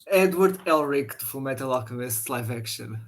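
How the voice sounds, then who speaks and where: A man's voice: mid-range voice, lively intonation, normal speed. A young man with a European Portuguese accent, a quiz contestant giving laid-back, hesitant answers. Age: young adult. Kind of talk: casual quiz chat among friends.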